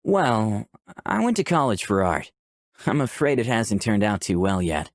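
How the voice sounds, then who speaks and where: Man as gentle young artist, animated pitch. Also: unhurried, no echo, studio quality. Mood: earnest. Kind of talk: visual novel dialogue and first-person narration.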